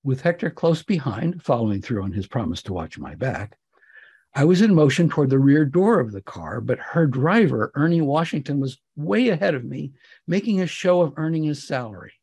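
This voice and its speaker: A man giving an expressive, wry and theatrical narration of comic fiction, as an author reading his own work. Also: on the high side, moderate pace, slightly rough.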